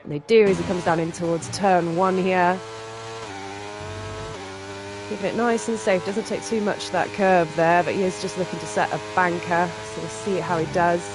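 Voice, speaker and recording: A live sim racing commentator with a stuffy cold, reacting quickly and excitedly to lap times. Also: medium pitch, background noise. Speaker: race commentator.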